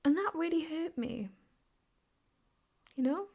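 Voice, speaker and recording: A woman playing an obsessive, possessive, sweetly menacing ex-girlfriend in an improvised ASMR roleplay, teasing and often slipping into breathy whispers. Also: very high-pitched, expressive, takes it slowly, darkish tone, exaggerated voice. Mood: hurt, accusing.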